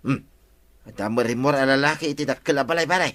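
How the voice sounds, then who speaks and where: A man's voice: medium pitch, fast, a bit bright, lightly acted. An actor with an Ilocano accent playing a village captain's chatty male buddy in a comedy radio drama.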